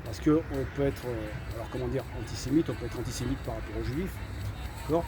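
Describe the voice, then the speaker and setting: A man's voice: mid-range voice, brisk, noisy background. A French man of Algerian heritage giving his opinions in casual talk, hesitant, repeating words and often saying "voilà". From Algerian heritage, raised in France. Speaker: channel host giving opinions.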